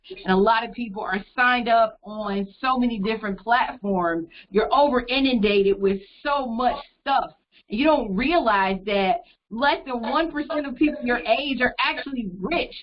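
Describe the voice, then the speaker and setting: A woman's voice: on the high side, moderate pace, lo-fi sound. A woman interviewer speaking over a video call, affirming her guest and reflecting in long, thoughtful runs. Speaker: radio interviewer. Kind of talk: interview conversation.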